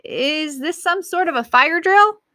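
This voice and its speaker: A woman's voice: very high-pitched, lively intonation, unhurried, bright, forceful tone, dry recording, clean studio sound, voice pushed up, full character voice. A woman voicing a nervous but defiant schoolboy in a storybook, earnest and indignant. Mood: puzzled.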